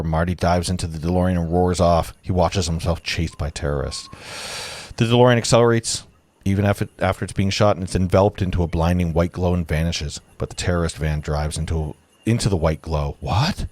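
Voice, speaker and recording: A man's voice: low-pitched, a little fast, darkish tone. A man narrating stage directions at a live table read, informally, breaking off now and then to comment. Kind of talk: casual live script read-through.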